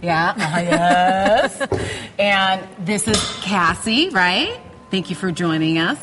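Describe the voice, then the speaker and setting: A lively woman co-hosts a TV studio chat and laughs easily. Her voice rings with a long reverb. A faint noise sits underneath. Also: medium pitch, slow. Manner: lively, laughs easily, says she has a loud voice. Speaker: TV morning show co-host.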